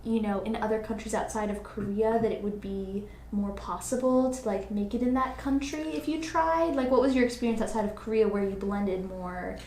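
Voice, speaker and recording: A woman's voice: mid-range voice, fairly quick, hardly any pauses, darkish tone. A woman hosting a podcast in casual conversation, thinking aloud earnestly with plenty of filler phrases. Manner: earnest, thinking aloud, frequent "like" and "you know". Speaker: podcast host. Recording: echoey room, faint background noise.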